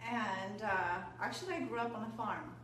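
A woman's voice: medium pitch, moderate pace. A woman from Poland, a yoga teacher, talking casually as an interview guest, chatty and laughing often, with lots of fillers. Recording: echoey room, far from mic, background hum.

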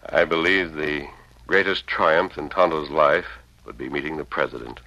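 A dull, dry old radio recording holds a grave hero of the Old West. His very deep, forceful American baritone is formal, measured and commanding. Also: dry recording, exaggerated voice. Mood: assured, earnest. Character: grave, authoritative masked lawman hero of the West. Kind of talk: old-time radio western acting.

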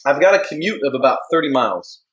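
A man's voice: medium pitch, animated, quick pace, fairly bright tone, reverberant, highs cut off. A man co-hosting a live talk show, trading joking, teasing banter. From United States. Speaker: co-host. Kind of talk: casual live-stream chat.

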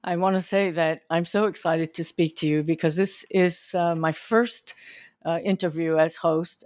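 A woman's voice: deep voice, darkish tone. A woman hosting a podcast, reading a careful scripted introduction and then asking questions in a looser way. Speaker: podcast host.

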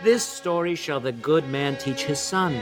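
A man performing a stirring medieval king rallying his outnumbered soldiers in a declamatory, amateur theatrical soliloquy with weighty pauses. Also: fairly high pitch, music in the background, voice pushed up, close to an everyday voice. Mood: stirring, fervent.

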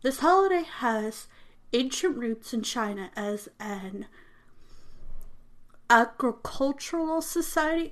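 Slow, halting woman reading aloud. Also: fairly high pitch. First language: not English. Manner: halting reading with frequent self-corrections and restarts; apologetic about mispronouncing names. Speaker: reader presenting a text. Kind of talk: reading an informational text aloud.

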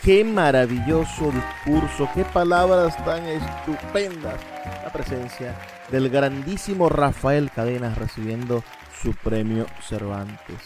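A man's voice: mid-range voice, takes it slowly. A man with a Venezuelan accent hosting a literary radio show, commenting in an effusive, admiring way. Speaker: radio host. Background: music.

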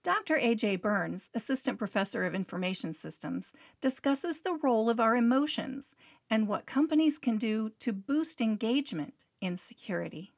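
A woman's voice: on the high side, expressive, moderate pace, gravelly. A woman presenting a scripted radio business segment with a polished delivery.

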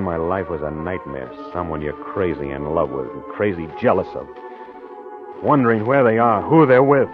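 An actor playing a jealous, tormented newlywed husband in an old-time radio drama, brooding, earnest and emotionally wrought. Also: male voice, low-pitched, natural voice. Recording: muffled old radio recording with music.

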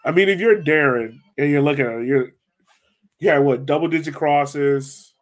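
A man's voice: a little high, moderate pace. A man hosting a sports podcast, chatting casually about a soccer match in informal, slangy speech.

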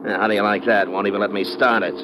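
A man's voice: on the low side, fairly even intonation, racing, natural voice. An actor playing a fat, jovial, pushy American traveling salesman, garrulous, folksy and chuckling, in 1950s radio drama style. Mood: jovial, chatty. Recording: noisy vintage radio recording, droning ambience.

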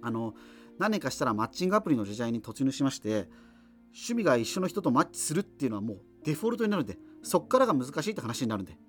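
An actor playing a self-deprecating, subculture-obsessed radio host, rambling through a monologue with apologetic asides in a radio drama. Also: male voice, medium pitch, big pitch swings, rapid-fire, faint music behind, close to an everyday voice. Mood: wry, apologetic. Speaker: radio show host answering listener letters.

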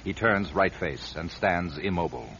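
A man narrating a radio drama in a grave, deliberate storytelling manner. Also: low voice, animated, fairly bright tone, a little hiss or hum. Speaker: narrator and writer-director. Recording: dull lo-fi radio recording.

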